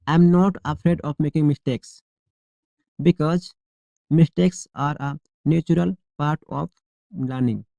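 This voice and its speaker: A male English teacher leading a shadowing drill, reading each model sentence slowly and deliberately, then repeating it clearly. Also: on the high side, steady tone, dark tone, no room sound.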